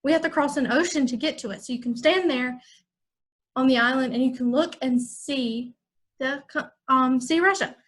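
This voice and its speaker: A bright, high-pitched young woman teaches a music lesson to kids. She talks in a cheerful, chatty way, as if speaking straight to her young students. She is from the United States. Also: moderate pace. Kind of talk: online music lesson for children.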